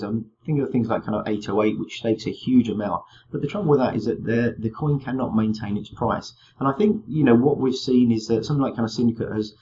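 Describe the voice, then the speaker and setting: A man hosting his crypto video with a slightly croaky, fading voice, talking loosely and filling with "kind of" and "um". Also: fairly low pitch, a little mellow, dull audio. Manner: rambling, full of "kind of" and "um" fillers. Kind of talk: casual screen-share walkthrough.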